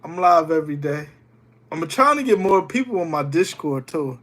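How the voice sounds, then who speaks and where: A man's voice: fairly high pitch, animated, moderate pace, fairly bright tone. A young man streaming live while getting over a cold, chatting loosely with viewers and greeting them by name. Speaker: livestreamer. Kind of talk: casual livestream chat with viewers.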